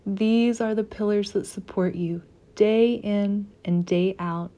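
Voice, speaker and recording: A woman leading a guided meditation in a soft, soothing, unhurried manner with gentle pauses. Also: medium pitch.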